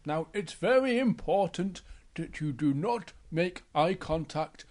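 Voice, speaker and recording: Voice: mid-range voice, expressive, measured pace, darkish tone, close to an everyday voice. A storyteller playing a cautious big bear who gives grown-up warnings in an instructive manner. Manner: instructive, admonishing.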